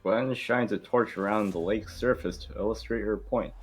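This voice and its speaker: A man's voice: on the low side, moderate pace, breathy edge, faint music behind. A man hosting and narrating an amateur table read, informal and sometimes stumbling over the script. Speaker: host and narrator.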